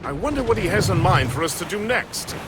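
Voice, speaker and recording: A jovial male first mate of a sailing ship. His voice sits a little high and swings widely, with flowery, old-fashioned phrasing. Clear wind and sea noise surrounds him. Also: big pitch swings, a little fast. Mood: genial, earnest. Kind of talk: video game voice acting. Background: ship, waves and wind.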